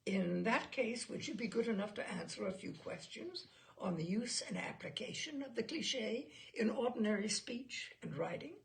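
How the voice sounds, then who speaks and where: A woman's voice: a little high, animated, normal speed, creaky, a little hiss or hum. A woman reader playing a formal, courteous, methodical examiner who questions a witness in a comic story.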